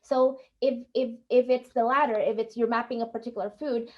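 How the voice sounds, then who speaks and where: Brief: woman, fairly high voice, earnest webinar speaker who halts and restarts often. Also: fairly even intonation, a little fast, highs cut off. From Indonesia. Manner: earnest, many fillers and restarts. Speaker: guest speaker. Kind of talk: webinar Q&A answers.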